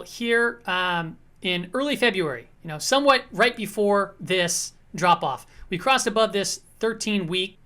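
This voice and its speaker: A woman hosting a casual market commentary, slightly hoarse, talking through charts in a conversational way with frequent check-ins to the viewer. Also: medium pitch, fairly bright tone.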